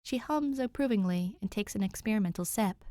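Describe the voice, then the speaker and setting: A young adult woman reading fan fiction as an amateur narrator, moving between expressive storytelling and casual chat. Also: medium pitch, brisk, dry recording.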